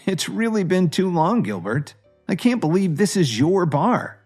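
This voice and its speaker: A man's voice: high voice, big pitch swings, measured pace, darkish tone, faint music behind, pitched above the performer's own voice, heavily acted. A man voicing a composed, friendly wealthy husband in an audio drama. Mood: friendly excitement.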